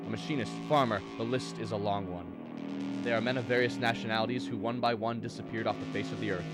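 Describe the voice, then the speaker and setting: A man's voice: lowish voice, lightly acted. An actor playing an earnest American math teacher and family man who tells his story in a 1950s radio drama style. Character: earnest abducted math teacher, husband and father, narrating.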